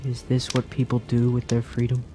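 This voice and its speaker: A man's voice: medium pitch, monotone, fairly quick, warm tone, faint music behind, lightly acted. A man playing an earnest young lad seeking truth in a fantasy radio drama, questioning in sincere, formal phrases. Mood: concerned.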